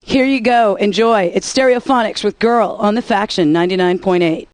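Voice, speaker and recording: A woman's voice: mid-range voice, normal speed, a little mellow, no room sound, natural voice. An actress playing a wry, upbeat alternative-rock radio DJ woman in a video game, introducing songs with sarcastic patter. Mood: playful, wry.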